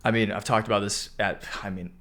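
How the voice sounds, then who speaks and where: A young man with a fairly low voice, hoarse and losing it, who keeps stopping to clear his throat. He talks through market charts in a casual, pumped-up way, speaking into a close mic. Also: steady tone, brisk, bright, forceful tone. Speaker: stock market video host. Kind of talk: casual chart analysis talk.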